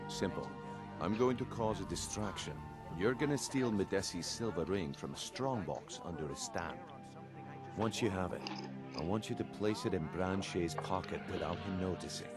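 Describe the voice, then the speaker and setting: Male actor as sly thief, video game dialogue, low pitch, confident, smooth knowing delivery, clear background music. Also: moderate pace, natural voice. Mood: conspiratorial, businesslike. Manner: smooth, knowing, calling the listener lad. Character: sly, confident thief recruiting a newcomer for a job.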